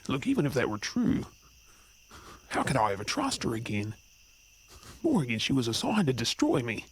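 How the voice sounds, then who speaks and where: Agitated young werewolf guy, wildly swooping pitch actor. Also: huge pitch swings, normal speed, husky, heavily acted, rough recording. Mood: bitter, hurt. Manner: blunt, agitated, sarcastic, casual swearing. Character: anxious young male werewolf, blunt, sweary, out of his depth. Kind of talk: audio roleplay acting. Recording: background noise with chirping crickets.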